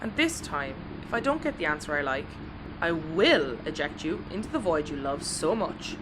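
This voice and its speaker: A woman's voice: low voice, sing-song, normal speed, noisy background. A woman playing a tough, dry, commanding spaceship captain with an Irish accent in a sci-fi audio comedy. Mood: stern.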